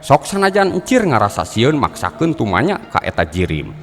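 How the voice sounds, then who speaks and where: A man telling a traditional folk tale in a measured, dramatic way, with folk music playing behind. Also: medium pitch, wildly swooping pitch. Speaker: storyteller. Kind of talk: traditional storytelling narration.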